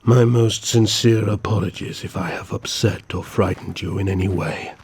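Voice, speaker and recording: Guy voicing a gravelly vampire count, deep-ish, slow and super polite. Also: exaggerated voice. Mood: gentle. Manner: slow, formal, calm and politely threatening. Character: courteous, menacing vampire count. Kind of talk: first-person storytelling and audio drama.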